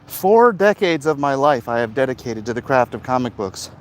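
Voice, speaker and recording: A middle-aged man talking casually and haltingly to his viewers in a monologue recorded while out walking. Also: medium pitch, very animated, a little hiss or hum. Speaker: comic book creator vlogging. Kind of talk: casual walk-and-talk monologue. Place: outdoors, walking along a hilly route.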